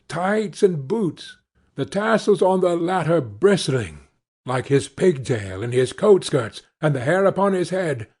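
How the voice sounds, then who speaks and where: A man reading a classic novel aloud as an audiobook, with measured and expressive storytelling. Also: fairly high pitch, slightly rough. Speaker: audiobook reader. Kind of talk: scripted narration of a classic novel.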